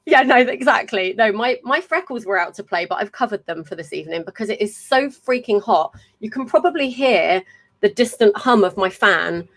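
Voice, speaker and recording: A woman's voice: mid-range voice, animated, moderate pace, a bit bright. A woman in her thirties hosting a live-streamed podcast from home over a video call, chatty and self-mocking, swearing freely. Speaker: podcast host. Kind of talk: casual live-stream interview. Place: home room with a fan humming. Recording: fan hum in the background.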